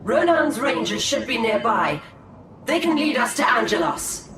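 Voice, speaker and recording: An actress playing a proud, guarded alien seer and assassin in a game, speaking in a measured, formal, cool way. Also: female voice, fairly high pitch, big pitch swings, noisy background. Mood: watchful. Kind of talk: dramatic game cinematic acting. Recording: echoey hall, music behind.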